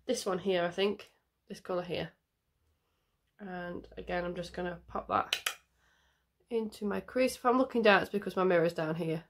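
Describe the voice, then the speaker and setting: A woman's voice: lowish voice, moderate pace, noisy background. A woman with a nasal, bunged-up voice from a cold, chatting in a rambling way while doing her makeup on camera, with lots of ums. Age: adult parent. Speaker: makeup vlogger. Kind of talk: casual makeup tutorial chat.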